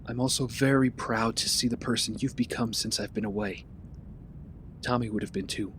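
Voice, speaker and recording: A man's voice: mid-range voice, steady tone, moderate pace, fairly bright tone, a little hiss or hum, close to an everyday voice. A man playing an earnest young vigilante in an audio drama, casual with a wry edge. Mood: sincere, subdued.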